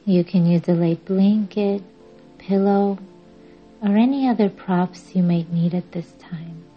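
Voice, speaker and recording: Slow, husky woman guiding meditation. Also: medium pitch. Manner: measured, soothing, instructing with long pauses. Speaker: meditation podcast host and guide. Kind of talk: slow guided yoga nidra meditation. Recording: dull lo-fi audio under music. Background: music.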